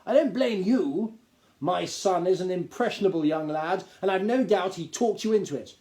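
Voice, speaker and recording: A man's voice: moderate pace, a little mellow, lightly acted. A man voicing a pompous, hot-tempered gnome duke who lectures and blusters. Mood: condescending. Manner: haughty, blustering, booming.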